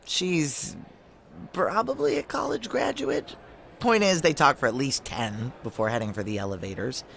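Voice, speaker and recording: A woman's voice: sits low, expressive, moderate pace, gravelly, a bit bright, full character voice. An actress playing a brash, foul-mouthed, hard-boiled woman operative, wry and blunt, in a noir audio drama. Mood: wry, matter-of-fact.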